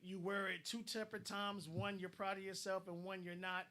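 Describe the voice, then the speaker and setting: High, bright man, blunt advice-giver, repeats himself as he gets going. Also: level tone, moderate pace. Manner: blunt, advice-giving, repeats words as he starts a thought. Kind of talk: casual online discussion.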